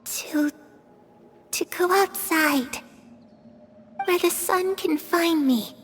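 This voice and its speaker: A woman voicing a fragile, eerie little girl in a video game, in a hushed, dreamy way with long reverb. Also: extremely high pitch, drawn-out words, soft background music, heavily acted. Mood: wistful, hesitant.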